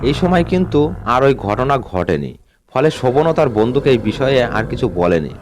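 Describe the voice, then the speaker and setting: A man narrating a scripted ghost story in a measured, suspenseful way. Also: mid-range voice, big pitch swings, darkish tone, slight background noise. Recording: music bed under the voice. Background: background music.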